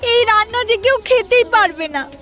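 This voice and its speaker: A woman's voice: very high-pitched, lively intonation, moderate pace, a bit bright, pitched above the performer's own voice. A woman voicing an anxious, deferential young daughter-in-law, pleading and eager to please, in an audio story. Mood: tearful, worried. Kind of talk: Bengali audio story acting. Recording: music behind the voice.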